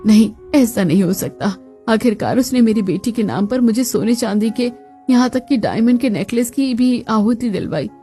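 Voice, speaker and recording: A woman's voice: fairly high pitch, animated, moderate pace, warm tone, close to an everyday voice. A stern, grieving middle-aged cartoon mother-in-law, played by a woman. She speaks dramatically and reproachfully, over faint music.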